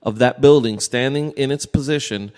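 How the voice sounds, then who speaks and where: Man, mid-pitched, skeptical, challenging, questioning, conversational debate, strong reverberant echo. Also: normal speed, a little mellow. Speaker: podcast co-host. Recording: reverberant hall-like room echo.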